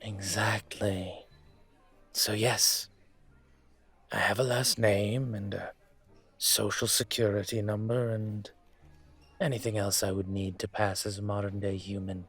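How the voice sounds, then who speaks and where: A man acts out a dragon mafia boss in human guise for an intimate audio roleplay. His voice is lowish and breathy, and he speaks very slowly and deliberately, with a commanding, teasing charm. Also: little pitch movement, fairly bright tone, faint music behind, exaggerated voice. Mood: confiding, matter-of-fact.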